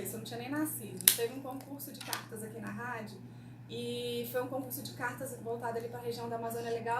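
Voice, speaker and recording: A young Brazilian woman, a radio producer, talking casually and personally in an interview. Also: a little high. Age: young adult. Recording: echoey room, distant mic, background noise.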